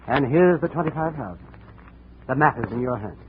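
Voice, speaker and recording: A man's voice: mid-range voice, lively intonation, fairly quick, phone-quality sound, natural voice. A man playing a fussy, hesitant insurance official in a 1950s radio drama, formal, long-winded and full of hemming and hawing. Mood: fussy, secretive. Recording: noisy, thin 1950 radio recording.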